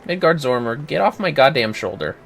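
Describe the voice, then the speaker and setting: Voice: mid-range voice, animated, brisk, vocal fry, fairly bright tone, a little hiss or hum. A game streamer chatting casually on a livestream with playful, sweary banter. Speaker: streamer, host. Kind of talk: casual game livestream chat with role-play reading.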